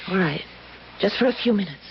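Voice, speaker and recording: A woman's voice: medium pitch, expressive, brisk, natural voice. An actress playing an earnest, stubborn woman who works as a hired companion in old-time radio drama, with a plaintive manner. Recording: muffled, hissy old radio recording.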